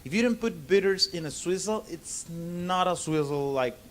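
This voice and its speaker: Higher-voiced man with Argentine Spanish accent. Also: expressive. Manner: halting non-native English, frequent "okay?" check-ins. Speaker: bartender giving a seminar. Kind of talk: informal lecture to a live audience.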